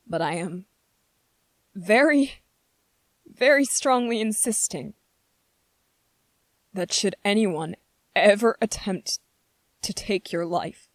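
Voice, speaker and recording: A woman playing a gentle, anxious young prince in an ASMR roleplay, speaking in a hesitant, earnest and pleading manner. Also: lowish voice, dry recording. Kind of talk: ASMR roleplay, scripted character acting.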